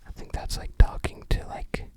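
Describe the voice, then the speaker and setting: An ASMR creator whispering unhurried, musing, slightly hesitant commentary while showing items to the viewer.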